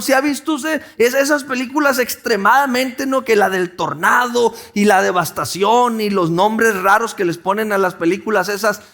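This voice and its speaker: A Mexican pastor with a coastal Sonoran accent preaches a sermon. His voice sits very high for a man. He talks to the congregation conversationally, tossing them questions and restarting phrases as he goes. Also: a bit bright. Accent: Mexican Spanish, Sonora coast.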